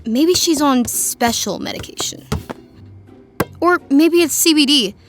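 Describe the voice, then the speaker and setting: Teenage girl: highish, sarcastic, breezy gossip, casual family audio drama. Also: measured pace, music in the background. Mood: breezy, teasing. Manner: slangy, sarcastic.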